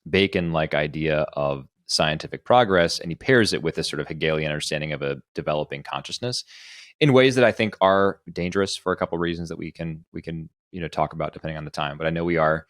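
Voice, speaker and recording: A man's voice: extremely low pitch, quick pace, a bit bright, dry recording, clean studio sound. A man co-hosting a podcast discussion, speaking in an articulate, analytical way. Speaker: podcast co-host.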